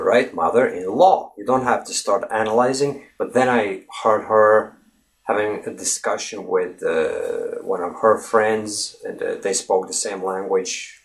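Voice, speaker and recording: A young man whose first language is Russian, giving an unscripted English lesson to camera, talking earnestly with lots of fillers and restarts. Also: fairly low pitch. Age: young adult. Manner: earnest and animated, many fillers and restarts, gestures constantly. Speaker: English teaching vlogger. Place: indoors in front of a green screen.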